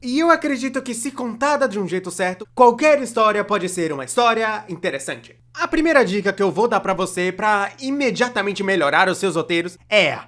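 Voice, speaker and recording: A Brazilian performer dubbing a young cartoonist who narrates an animated comedy video in a conversational, self-deprecating, complaining way. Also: mid-range voice, a little fast, few pauses, close to an everyday voice. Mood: wry, explanatory. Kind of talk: scripted comedic storytime narration, fan dub.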